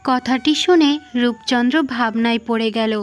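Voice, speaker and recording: A woman's voice: on the high side, moderate pace. A woman reading scripted fairy-tale narration as the storyteller of a cartoon. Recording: music behind the voice.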